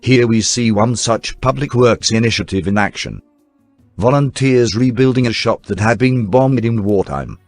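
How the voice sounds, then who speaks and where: A man reading scripted newsreel documentary narration in a formal, measured announcer style. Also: medium pitch, no room sound. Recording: dry voice-over with faint music bed. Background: faint background music.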